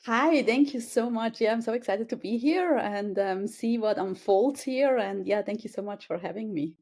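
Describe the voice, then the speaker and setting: A woman's voice: a little high, normal speed, a little mellow. A woman in her forties from Switzerland, a guest in an interview, talking casually with many hesitations and restarts. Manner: hesitant, many restarts and fillers, laughs easily.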